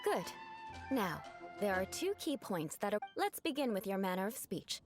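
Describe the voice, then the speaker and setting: Woman playing a haughty, lively-voiced young noblewoman. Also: mid-range voice, normal speed, lightly acted. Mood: stern, composed. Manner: formal, lofty, instructive. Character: haughty young noblewoman, formal, proud, exacting. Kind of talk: video game voice acting. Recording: music behind the voice. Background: music.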